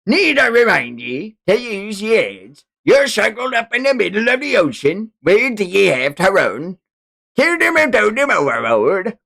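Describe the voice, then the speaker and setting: A man acts out a cruel pirate captain for a manga read-along. He is greedy and sneering, speaks in salty old seafaring phrases, and swings widely in pitch at an unhurried pace. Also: very animated, vocal fry, sharp tone, heavily acted. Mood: menacing.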